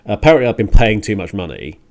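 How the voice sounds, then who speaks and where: A man's voice: on the low side, sing-song, quick pace. A man hosting a music radio show, rambling casually and thinking aloud with hesitant digressions.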